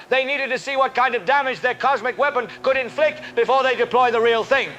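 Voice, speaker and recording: Man acting a high-pitched, urgent conspiracy broadcaster. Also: a bit bright, a little hiss or hum. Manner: urgent, accusatory, rhetorical. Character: fervent paranoid male conspiracy radio broadcaster. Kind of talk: apocalyptic radio drama monologue.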